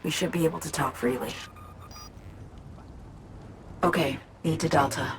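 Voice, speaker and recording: A woman's voice: low-pitched, quick pace, noisy background. A woman playing a secretive, intense hacker in a video game, speaking in short, confiding, guarded phrases. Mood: secretive, earnest. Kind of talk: cinematic game acting, confiding dialogue. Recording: music behind the voice.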